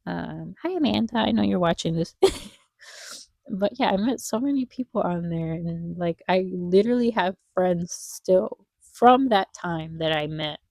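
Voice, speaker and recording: Young woman, low mellow voice, stuffed-up nose, rambling wistful vlog chat, dry close sound. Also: lively intonation, unhurried, vocal fry, no echo. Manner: rambling, wistful, heavy on fillers. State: congested nose. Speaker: vlogger. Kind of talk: casual chat while drawing.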